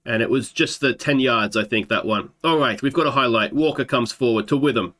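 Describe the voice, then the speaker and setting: A man's voice: medium pitch, brisk, fairly bright tone. Australian man, thirties, slight sore throat, let's play commentary, thinking aloud, desk mic. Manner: chatty, thinks aloud with fillers. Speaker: gaming YouTuber.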